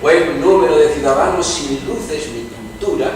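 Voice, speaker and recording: Actor as outspoken Spanish liberal, distant echoing hall. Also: male voice, on the high side, measured pace, close to an everyday voice. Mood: indignant, emphatic. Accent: Spain. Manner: rhetorical, emphatic. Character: outspoken liberal Spanish citizen, indignant at oppression. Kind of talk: staged radio-play dialogue. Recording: echoey hall, distant, noisy room ambience.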